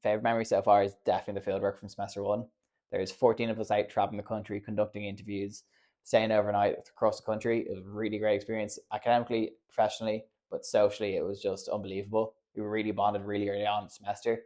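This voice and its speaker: A man in his early twenties, a graduate student, speaking briskly and assuredly about his course. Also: fairly low pitch, level tone, darkish tone. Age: 20s. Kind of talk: student testimonial.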